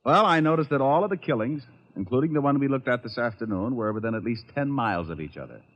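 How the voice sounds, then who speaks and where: An actor playing a wry, unflappable private detective who also narrates, in the style of an old-time radio drama. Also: male voice, medium pitch, animated, muffled recording, natural voice. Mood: wry, reasoning. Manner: wry, glib, quick with dry wisecracks. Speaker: lead actor and first-person narrator. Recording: vintage radio recording with music.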